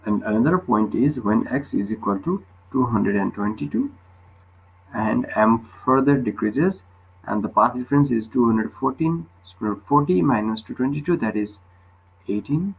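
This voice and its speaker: A man's voice: on the low side, animated, normal speed, vocal fry, breathy edge, poor audio. A man tutoring physics, explaining a worked problem step by step and often checking with short questions.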